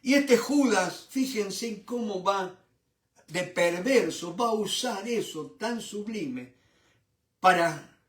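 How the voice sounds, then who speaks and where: A man's voice: high voice, expressive, slow pace, echoey room, recorded from a distance. An older man from Argentina with an Argentine Spanish accent, teaching a Bible study in sermon style. He often asks rhetorical questions and keeps repeating "¿no es cierto?".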